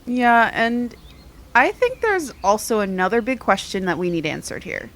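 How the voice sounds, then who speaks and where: A woman's voice: on the high side, big pitch swings, moderate pace, a little hiss or hum. An actress playing a blunt, exasperated, wry young woman in an audio drama, speaking emphatically. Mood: challenging.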